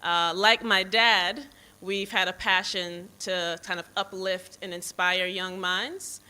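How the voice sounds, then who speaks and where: An American woman in her forties gives an unscripted public address. Her voice is very bright and smooth, and she takes her time, sometimes pausing to rephrase. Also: on the low side, steady tone, rough recording. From Boston, United States, born in Jamaica. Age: 40s. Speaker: foundation president, guest speaker. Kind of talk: public speech, partly off the cuff.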